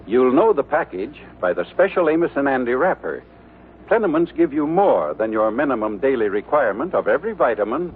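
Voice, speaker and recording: Animated American radio pitchman, tinny recording. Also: breathy edge. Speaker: commercial announcer. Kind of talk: 1950s radio advertising read. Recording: tinny old radio broadcast, faint noise.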